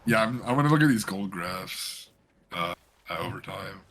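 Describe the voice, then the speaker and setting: A man casting a live esports match, joking around and getting excited about the plays. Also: sits low, normal speed. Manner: joking, excitable, laughs often. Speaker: esports caster. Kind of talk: live game commentary.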